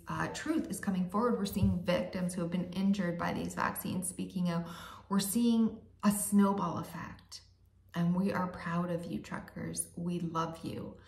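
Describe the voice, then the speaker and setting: Woman in her 40s pleading into her phone from home, lowish voice, bathroom echo all over it. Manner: earnest, pleading, drops to a soft whisper at times. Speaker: vlogger. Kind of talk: direct-to-camera appeal. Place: dim bathroom at home, indoors. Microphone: phone or webcam close to the face. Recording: echoey bathroom.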